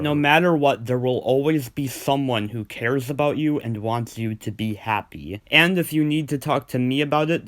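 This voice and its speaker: A man hosting a video countdown, giving scripted review commentary in an informal, earnest way and stressing key words. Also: mid-range voice. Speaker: host of a video list of cartoon episodes.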